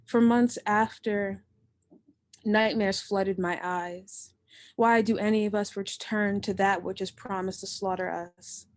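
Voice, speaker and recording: Slow, deliberate woman reading poetry. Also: medium pitch, fairly even intonation, no room sound, clean studio sound. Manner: slow, measured, deliberate recitation; loose, chatty asides with laughter between poems. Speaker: poet and host of the reading.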